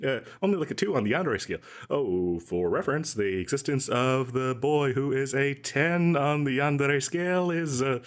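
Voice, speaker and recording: A man's voice: mid-range voice, normal speed, hardly any pauses, lightly acted. A man playing a nervous, over-eager, shady matchmaking salesman in a comedic audio roleplay, rambling with jittery, hesitant asides. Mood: chatty, flustered. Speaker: voice actor performing a scripted audio roleplay.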